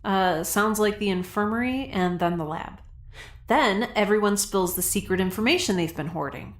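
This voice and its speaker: A woman's voice: medium pitch, normal speed, close to an everyday voice. A woman playing a quirky young scientist in a science fiction audio drama, informal and wry with a slightly hesitant delivery. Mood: wry, nervous.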